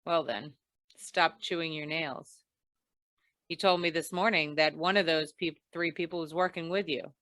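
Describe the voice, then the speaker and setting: A woman playing a tough, wry female insurance investigator in an old-time radio noir, clipped, deadpan and probing. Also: low voice, normal speed, no room sound. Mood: accusing, cool.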